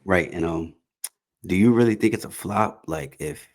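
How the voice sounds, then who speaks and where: Thirties guy hosting a podcast, real deep voice, laid back, says um a lot. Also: moderate pace. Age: 30s. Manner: relaxed, informal speech, some "um"s. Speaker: podcast host. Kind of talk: casual interview chat.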